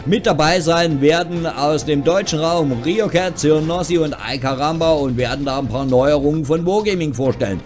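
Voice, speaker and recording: Man, slightly high voice, chatty, wry, casual gaming news host, music behind. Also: moderate pace, few pauses. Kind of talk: casual, tongue-in-cheek news commentary. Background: background music.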